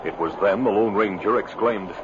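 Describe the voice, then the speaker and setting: A man's voice: lowish voice, moderate pace, background noise. A man narrating a 1950s radio adventure as its announcer in a dramatic, scripted style. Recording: muffled old radio recording.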